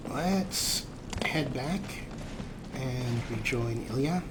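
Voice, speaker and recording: A man's voice: mid-range voice, extremely slow. A man hosting a let's play with casual, chatty commentary on the game. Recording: noisy, game sound behind voice. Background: video game ambience.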